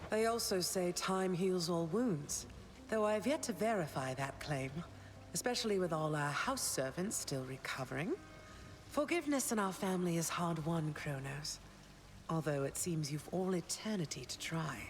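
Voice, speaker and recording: A woman's voice: fairly low pitch, animated, lightly acted. Woman as poised queen of the underworld. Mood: cool, stern. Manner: poised, measured, dry. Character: regal underworld queen, loving but sternly unforgiving mother. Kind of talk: video game dramatic acting.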